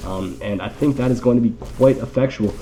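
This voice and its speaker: A man's voice: mid-range voice, a little fast, warm tone, faint music behind, rough recording. A man with a nasal, congested voice from allergies gives casual live game commentary with wry, rambling, joking asides. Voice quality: nasal, stuffed-up.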